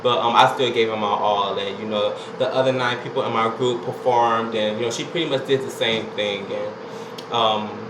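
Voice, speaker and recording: A man's voice: on the low side, steady tone, normal speed. A young man, telling a laid-back, chatty story to camera and filling it with "you know" and "like". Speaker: vlogger. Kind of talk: casual storytelling vlog. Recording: echoey room with air conditioner hum.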